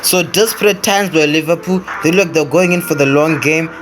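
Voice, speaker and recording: A man's voice: on the high side, normal speed, music in the background. A man hosting a gaming video, giving casual gameplay commentary as he thinks aloud, repeating phrases and addressing viewers. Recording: clearly noisy home recording.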